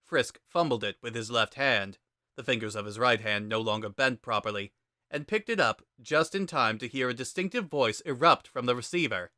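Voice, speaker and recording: A man giving a measured, dramatic narration of a scripted story. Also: mid-range voice, animated, sharp tone, dry recording, clean studio sound.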